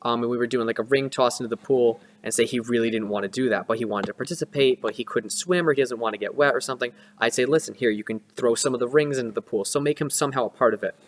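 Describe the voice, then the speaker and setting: A young man speaks in a long, echoing room reverb, with a mid-pitched voice. He chats informally, sharing advice and experience in a rambling, conversational way. He often breaks off and rephrases as he goes. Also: fast, hardly any pauses. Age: young adult. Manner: rambling, conversational, self-interrupting. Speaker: student vlogger. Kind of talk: casual first-person advice and recounting.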